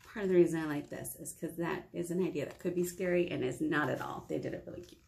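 An American woman in her thirties leading a children's storytime, reading aloud and chatting to young listeners in an encouraging, expressive manner. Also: deep voice, fast talker, creaky. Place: small indoor meeting room.

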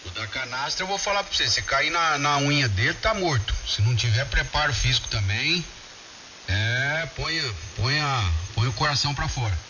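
Brazilian male radio amateur, mid-pitched, colloquial and chatty, on a muffled shortwave link with strong hiss. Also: animated, moderate pace, pushed and bright. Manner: colloquial, addresses friends by name. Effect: shortwave ham radio transmission. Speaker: amateur radio operator in a group contact. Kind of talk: casual chat over ham radio.

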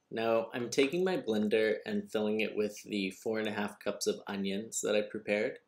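A young man giving a calm, step-by-step cooking lesson as voice-over. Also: fairly low pitch. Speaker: cooking teacher. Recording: distant echoey room with noise, video call.

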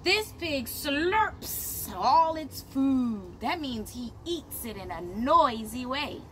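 A woman in her thirties reading a picture book aloud to young children as their teacher, in simple, deliberate phrases, recorded outdoors by a camera a short distance away. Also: sits high, sing-song, a bit bright. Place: outdoors, on a patio beside plants. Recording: clear outdoor background noise.

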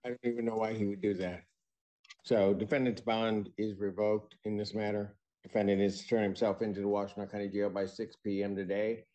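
Older man, American accent, deep-toned judge, formal courtroom speech, measured delivery, video call. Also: level tone, mellow, rough recording.

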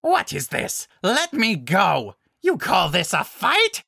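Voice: medium pitch, wildly swooping pitch, takes it slowly, creaky, pushed and bright, dry recording, studio quality, pitched above the performer's own voice, exaggerated voice. A narrator voicing a fierce, proud male werewolf pack alpha in a fantasy story, with a barking, menacing, blustering manner. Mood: furious, yelling. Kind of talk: audiobook-style fantasy story character.

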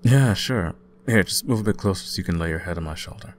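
A young man playing an easygoing, caring student roommate in an intimate ASMR audio roleplay, talking casually with gentle teasing. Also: sits low, lively intonation, fast talker, a little hiss or hum. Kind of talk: intimate ASMR boyfriend-style roleplay, conversational acting.

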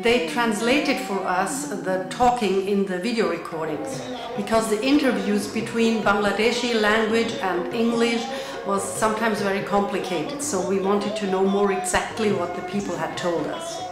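A woman's voice: medium pitch, over music. Middle-aged woman, roomy recording. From Switzerland and Luxembourg. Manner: measured, explanatory, with fillers and restarts. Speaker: visual artist. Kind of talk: recorded artist talk to camera. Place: indoor room with bare wall. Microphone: camera framed at chest height.

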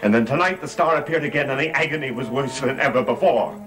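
A man's voice: medium pitch, fast, close to an everyday voice. An actor in his thirties playing an anguished, cursed master in a brooding, dramatic gothic soap style. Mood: desperate, resolute. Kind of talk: gothic television soap opera acting. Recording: vintage TV soundtrack with loud music.

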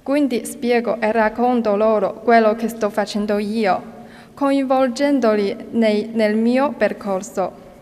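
A woman's voice: a little high, fairly even intonation, takes it slowly, dark tone. A young woman from China, a student volunteer carefully reading a prepared statement at a podium. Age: young adult. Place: large ornate state hall. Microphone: podium microphones. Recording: long hall reverb.

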